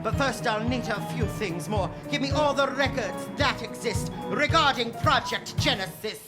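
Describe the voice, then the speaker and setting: A woman playing a grandiose, vengeful warlord villain in a staged musical parody, taunting with menacing, drawn-out theatrical emphasis. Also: fairly high pitch, expressive, over loud music, lightly acted. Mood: taunting, demanding. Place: live stage.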